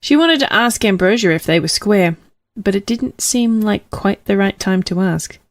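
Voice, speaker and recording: A woman's voice: medium pitch, lively intonation, moderate pace, no echo. A woman reading a storybook aloud as scripted audiobook narration.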